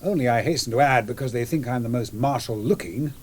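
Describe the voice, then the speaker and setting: An actor playing a mild, fretful middle-aged English gentleman in a period radio drama, courteous and formal, with an English accent. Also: mid-range voice, fairly quick, background noise, close to an everyday voice. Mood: modest, courteous.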